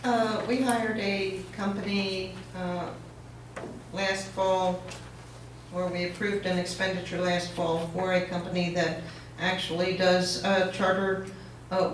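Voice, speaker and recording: A woman's voice: fairly low pitch, flat delivery, a little slow. A woman at a town meeting asking the board about contracts, speaking hesitantly with many fillers. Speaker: town commissioner at a public meeting. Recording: echoey meeting hall, mic far off, faint noise.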